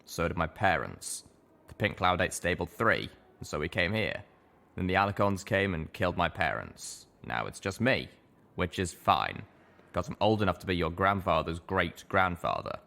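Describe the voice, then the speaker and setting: A man's voice: sits low, very animated, normal speed, vocal fry, bright, forceful tone, heavily acted. A man voicing a jaded, sardonic century-old ghoul pony in a colt's body, rambling wearily in a storybook reading. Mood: flat, brusque.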